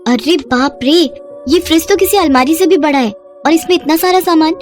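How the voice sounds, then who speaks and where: A woman's voice: sits high, normal speed, faint music behind, pitched above the performer's own voice, natural voice. A woman voicing a modest, polite poor college girl in a cartoon story, easily awed and deferential. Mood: amazed. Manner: wide-eyed, deferential.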